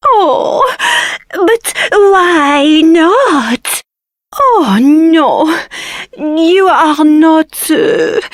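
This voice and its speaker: A woman voicing a flirtatious, giggly French showgirl in a video game, with a French accent and a teasing, breathy, drawn-out delivery. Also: sits high, no echo, studio quality, heavily acted. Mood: gleeful, excited. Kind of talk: video game character acting.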